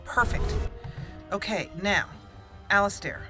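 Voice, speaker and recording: A woman's voice: mid-range voice, takes it slowly, over music. A woman hosting a game playthrough, fretfully talking through each move as she plays. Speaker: let's play host. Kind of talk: gameplay commentary.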